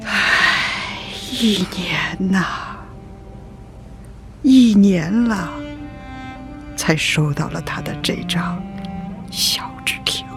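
Elderly grandmother, radio drama, very slow, halting and wistful, string music behind. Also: mid-range voice, lively intonation, in a whisper. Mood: sorrowful. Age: older. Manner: halting, sighing, reminiscent delivery. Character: wistful, long-suffering old grandmother recalling hardship. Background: cello and string music.

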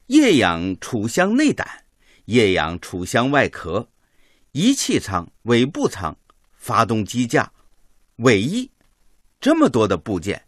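Radio drama role: Soviet team leader, stern and formal, deliberate slow delivery, very animated intonation. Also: male voice, medium pitch, no echo, clean studio sound, heavily acted. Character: male Soviet expert team leader, stern, measured, impatient. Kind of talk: Chinese radio drama acting.

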